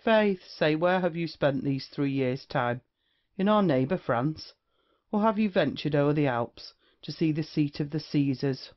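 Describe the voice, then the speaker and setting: A witty, swaggering young rake played by an actor in a period stage comedy for audio. The voice sits at a middling pitch with flippant, old-fashioned phrasing. The recording is slightly dull and lo-fi. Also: expressive, a little slow, close to an everyday voice. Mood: hearty. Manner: archaic, swaggering, flippant speech. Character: brash, witty young male rake, swearing casually.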